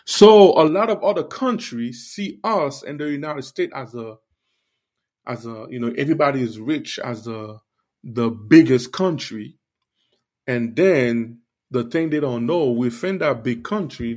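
A man's voice: mid-range voice, unhurried, a little mellow. A man born in Haiti hosting a faith podcast, talking straight to his listeners in an earnest, preachy way with many fillers. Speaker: podcast host. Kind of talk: casual faith podcast monologue.

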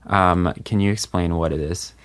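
A man's voice: sits low, fairly even intonation, normal speed, a bit bright, clean tone. A man hosting a podcast in casual chat, steering the talk and teasing his co-hosts. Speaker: podcast host.

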